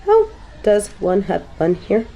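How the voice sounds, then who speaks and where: A woman's voice: mid-range voice, huge pitch swings, measured pace, mellow, highs cut off, exaggerated voice. A woman voicing a snobby but secretly sweet young angel who stammers and gets flustered, in a romantic audio roleplay. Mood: shy wonder. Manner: stammering, flustered, haughty when embarrassed.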